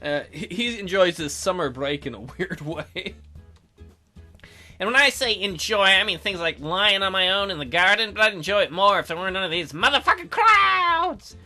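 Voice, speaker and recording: Animated man doing casual game commentary. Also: high-pitched, moderate pace, soft background music. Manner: joking, mock-incredulous asides. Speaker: let's play host.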